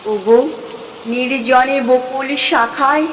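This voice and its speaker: Very slow woman reciting with long reverb. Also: high-pitched. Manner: dramatic, drawn-out phrasing with deliberate pauses. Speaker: poetry reciter. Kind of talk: expressive Bengali poem recitation. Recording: long hall reverb, clear background noise.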